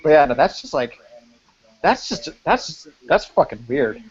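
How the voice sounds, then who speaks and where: A man's voice: a little high, sing-song, measured pace, breathy edge. A man in a casual live-stream chat about films who swears freely and talks in fillers like "like". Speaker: film site writer hosting a live-stream chat. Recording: muffled online call audio.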